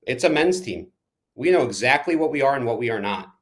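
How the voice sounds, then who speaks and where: A man born in Ukraine, a soccer club manager and coach, answering interview questions emphatically, racing through some phrases and slowing on others. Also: medium pitch, expressive.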